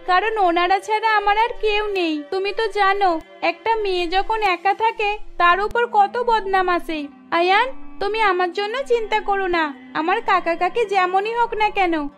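A woman's voice: very high voice, unhurried, a bit bright. A woman voicing a meek, pleading young college student in a cartoon story, speaking earnestly. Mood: earnest, resigned. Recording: music behind the voice.

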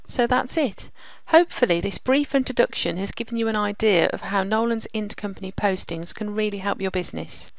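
A woman narrating a software demonstration as a presenter, explaining things step by step. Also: medium pitch, gravelly, dry recording, rough recording. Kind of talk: scripted software demo narration.

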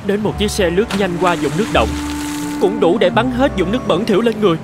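A man's voice: high-pitched, fast talker, natural voice. Man acting a plaintive, gloomy talking traffic light. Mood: wistful, self-pitying. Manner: plaintive, complaining. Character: gloomy, self-pitying talking traffic light longing for freedom. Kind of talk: animated fairy-tale dubbing. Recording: music under the voice.

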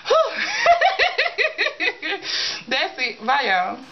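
A woman in her thirties who is sick, her voice a little raspy, chatting casually to camera as a vlogger, gushing and giggling and calling her viewers y'all. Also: high voice, wildly swooping pitch, drawn-out words, highs cut off, a little hiss or hum. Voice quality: slightly raspy. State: sick, voice going. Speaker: beauty vlogger. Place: small indoor room in front of a folding screen.

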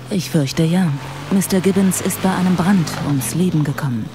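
A woman's voice: fairly low pitch, normal speed, noisy background, lightly acted. A woman playing a self-assured, teasing police detective in a German radio drama, with a probing, wry manner. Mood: official, sympathetic.

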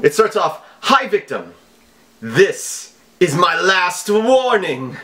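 A man voicing a menacing, over-dramatic evil hacker villain in a made-up foreign accent, slow and theatrical. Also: high voice, sing-song, pushed and bright, voice pushed up, full character voice. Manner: slow, theatrical, deliberate delivery with broken English.

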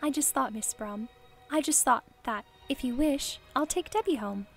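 A woman plays an eager housemaid in an audio drama, very deferential and fluttery. Her voice is high, with music behind it. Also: moderate pace, vocal fry. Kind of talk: radio-play style dramatic acting.

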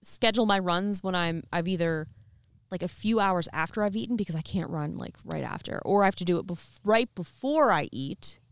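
A woman's voice: lowish voice, animated, a little fast, smooth, dry recording, studio quality. A young woman co-hosting a podcast outdoors, chatting casually and rambling with lots of fillers into a handheld mic. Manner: rambling, filler-heavy storytelling. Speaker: podcast co-host. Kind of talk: casual chat. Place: outdoors by a fire pit.

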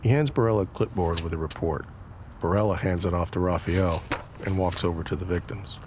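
A man's voice: low-pitched, a little fast, noisy background. A man narrating an audio drama from a script, describing scenes. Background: instrumental music.

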